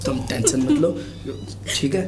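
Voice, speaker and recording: A man's voice: on the high side, wildly swooping pitch, unhurried. A man playing a protective, earnest younger brother-in-law in an amateur family drama, speaking insistently. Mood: concerned, soothing. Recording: noticeable background noise, room ambience.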